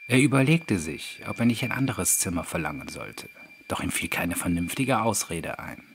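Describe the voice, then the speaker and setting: Low-voiced man narrating a horror story. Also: expressive, normal speed, a little hiss or hum. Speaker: audiobook narrator. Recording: background music under the voice. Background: music.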